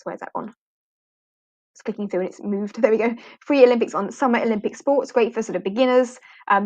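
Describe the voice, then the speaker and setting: A British woman hosts an informal livestream for fellow English teachers, chatty and rambling, with fillers and little laughs. Her voice sits at a middle pitch. The sound is close, dry and a little dull, like home streaming audio. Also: no room sound. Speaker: online ESL teacher hosting a livestream. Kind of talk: informal live walkthrough.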